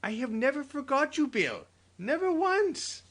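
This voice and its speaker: A woman's voice: high voice, sing-song, a little slow, a bit bright, voice pushed up, full character voice. A woman voicing a sly, submissive old male fence who coaxes and pleads. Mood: coaxing. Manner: coaxing, pleading. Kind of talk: audiobook character voice.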